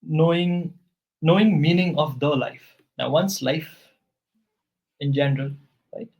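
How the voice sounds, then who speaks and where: A man's voice: a little high, a little slow, phone-quality sound. Patient man tutoring English. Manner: patient, corrective, explains grammar step by step. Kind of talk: one-on-one tutoring session feedback.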